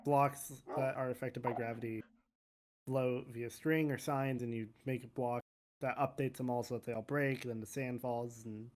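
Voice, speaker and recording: A man's voice: medium pitch, fairly even intonation, normal speed, darkish tone. A man casually explaining things as a player in a game roleplay. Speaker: roleplay gamer.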